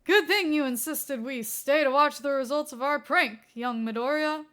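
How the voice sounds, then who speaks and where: A woman's voice: sits high, normal speed, a bit bright. A woman voicing a playful, nervous older male hero mentor in a fan fiction reading, hesitant and exclamatory by turns. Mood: pleased. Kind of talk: acted fan fiction dialogue.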